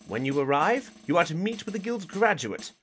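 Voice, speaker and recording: A performer playing a brisk, officious bird creature who gives orders in an audio drama, formal and precise. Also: medium pitch, big pitch swings, fairly bright tone, slight background noise. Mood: commanding. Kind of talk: audio drama character acting.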